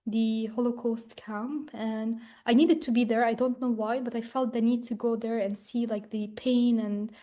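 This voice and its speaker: A young woman from Ukraine speaking as a guest, earnest and personal, often filling pauses with "like". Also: a little high, steady tone, warm tone. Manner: earnest, frequent "like" fillers.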